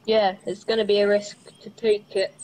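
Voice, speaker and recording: Determined young crane driver, a rookie lad played by a teenage girl, mid-pitched, plain radio-play delivery, noisy background. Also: little pitch movement, normal speed, darkish tone, lightly acted. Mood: concerned, businesslike. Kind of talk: school radio play acting. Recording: clearly noisy background ambience.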